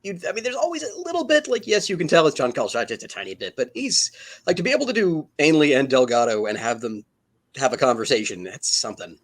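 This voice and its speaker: Man chatting casually with animated pitch. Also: fast talker. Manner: informal, conversational. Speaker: panelist. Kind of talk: casual, opinionated fan chat.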